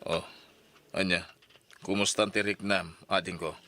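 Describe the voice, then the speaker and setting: A man's voice: deep voice, steady tone, unhurried, bright, forceful tone, soft background music. A man acting an earnest, protective older brother who gives solemn counsel in an Ilocano radio drama. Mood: solemn, consoling.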